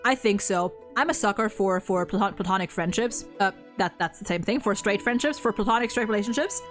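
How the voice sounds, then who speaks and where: A young woman co-hosting a casual discussion, talking in an animated, opinionated way with frequent swearing. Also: medium pitch, brisk, faint music behind. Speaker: video host reviewing a game.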